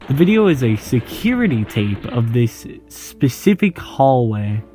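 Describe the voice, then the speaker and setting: A man talks through horror videos at an unhurried pace in an easygoing, joking tone, reading out on-screen captions as he goes. His voice sits at an ordinary pitch, and faint music plays underneath. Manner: relaxed, jokey, reads on-screen text aloud. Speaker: YouTube host. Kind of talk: casual video commentary.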